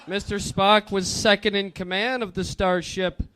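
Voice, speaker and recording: A man with a high, smooth voice gives a slow comedic reading. He tells the story in a mock-earnest way, like a storyteller taking it all very seriously. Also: level tone, fairly bright tone. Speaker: comedic reader on a stage show. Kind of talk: dramatic comedic reading of fan fiction.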